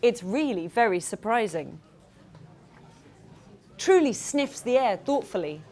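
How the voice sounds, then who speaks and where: Animated, high-voiced woman reading to children. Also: takes it slowly. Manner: animated, interactive, asks the audience questions. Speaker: children's author reading own book. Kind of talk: live storybook reading to children. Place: room with an audience of children.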